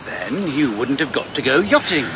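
An actor playing a confident, breezy husband in a radio drama, teasing and self-assured. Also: male voice, mid-range voice, big pitch swings, moderate pace, background noise, lightly acted. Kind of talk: BBC radio drama acting.

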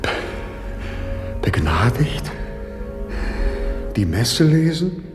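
A man playing a condemned imprisoned clergyman in a German radio drama, speaking in a solemn, earnest and deliberate manner. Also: medium pitch, sing-song. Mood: stunned, awed. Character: grave condemned male prisoner, a clergyman, anguished and earnest. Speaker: radio play actor. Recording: reverberant hall, music in the mix.

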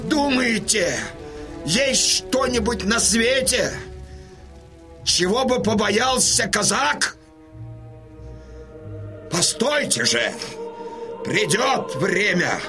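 A fierce, high-pitched old Cossack chieftain. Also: male voice, animated, exaggerated voice. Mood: defiant, prophetic. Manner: declamatory, impassioned, drawn-out delivery. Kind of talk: Russian radio drama acting. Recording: music behind the voice.

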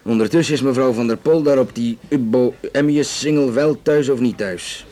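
An actor playing a courteous, flirtatious Flemish police detective in a naturalistic radio drama, speaking hesitantly with dry humour. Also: male voice, medium pitch, normal speed, dark tone, natural voice. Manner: hesitant, dryly joking, conversational.

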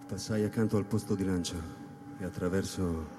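Low, reverberant male actor as a solitary astronaut narrator. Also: fairly even intonation, brisk, noisy background, close to an everyday voice. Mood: grave, tense. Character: solitary male astronaut recounting his arrival in space. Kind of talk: literary sci-fi dramatic narration. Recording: long hall reverb over live electronic music. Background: electronic music.

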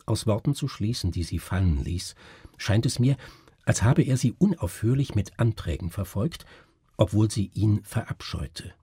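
A man voicing a grave, educated doctor in a literary story, speaking in a measured, brooding, formal way. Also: lowish voice, lively intonation, dark tone, breathy edge, dry recording, studio quality. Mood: suspicious, intent. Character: grave educated male doctor recounting a friend's ruin.

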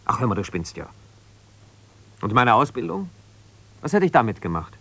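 An actor playing an embittered, ambitious lawyer husband who talks in an argumentative, colloquial way in a naturalistic radio play. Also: male voice, mid-range voice, big pitch swings, normal speed, a bit bright. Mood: exasperated. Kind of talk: naturalistic radio play, one side of a phone call.

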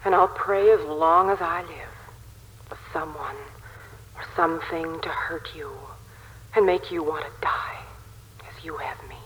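An actress playing a bitter, scorned young woman reading her letter in a breathy half-whisper, in 1940s radio style. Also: low-pitched, unhurried, a bit bright. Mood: bitter, hateful. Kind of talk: hushed 1940s radio drama acting. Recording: faintly noisy 1940s radio broadcast.

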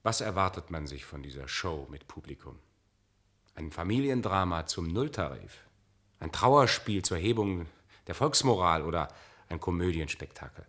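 A man's voice: low-pitched, animated, moderate pace, a bit bright. An actor playing a cynical male singing idol in a radio play, mocking and theatrical. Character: cynical Orpheus, male idol singer of the future. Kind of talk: German radio play acting.

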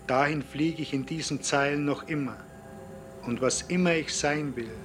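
A man playing a fervent, contemplative poet in a radio drama, reciting in measured, lyrical, deliberate phrases. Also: mid-range voice, close to an everyday voice. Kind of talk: slow, solemn poetic recitation in a radio drama. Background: music.

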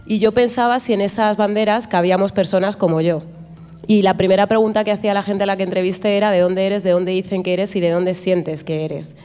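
A woman's voice: mid-range voice, steady tone, brisk, dark tone. A woman from Spain, speaking as a guest journalist on a live podcast in front of an audience. She talks emphatically and acts out bits of dialogue. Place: event venue with live audience. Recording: long hall echo.

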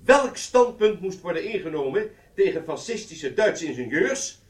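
Commission interrogator, radio drama, really high male voice, formal and insistent, roomy and off mic. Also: sing-song, normal speed. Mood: probing. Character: formal probing male inquiry commission questioner. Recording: roomy, distant from microphone.

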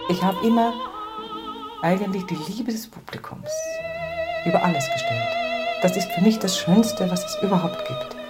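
Highly animated woman over loud music. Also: moderate pace. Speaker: performer. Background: loud opera music.

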